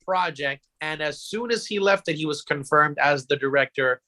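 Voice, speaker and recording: A man's voice: a little high, moderate pace, bright, forceful tone. A man co-hosting a podcast, presenting news in a casual, conversational style. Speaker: podcast co-host.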